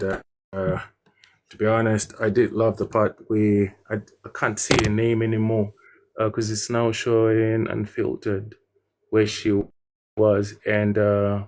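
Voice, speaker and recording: Slow, hesitant low-voiced man. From Zimbabwe. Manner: hesitant, many fillers. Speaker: debate participant. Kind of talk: casual online debate comment.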